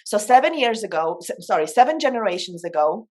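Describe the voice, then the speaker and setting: Woman, medium pitch, emphatic manner, informal coaching talk. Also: sing-song, brisk, darkish tone. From Poland. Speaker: coach and speaker. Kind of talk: informal motivational talk on spiritual self-development.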